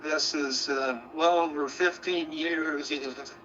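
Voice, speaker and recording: Bright, forceful man on dull radio link. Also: on the high side, steady tone, slow. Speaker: amateur radio operator. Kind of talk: casual technical radio chat. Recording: dull, noisy digital radio transmission.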